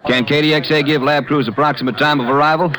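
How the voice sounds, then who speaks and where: A man's voice: on the high side, steady tone, quick pace, crowd noise, close to an everyday voice. An actor playing a matter-of-fact lawman with an American accent in an old radio drama. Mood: brisk, factual. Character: matter-of-fact Texas Ranger lawman reporting a case. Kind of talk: 1950s radio drama acting. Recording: vintage radio broadcast, bright and thin.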